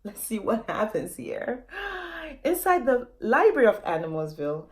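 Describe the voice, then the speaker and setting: A woman's voice: medium pitch, very animated, moderate pace, a little mellow. A woman reading her children's book aloud in a dramatic storytime style, often putting questions to young listeners.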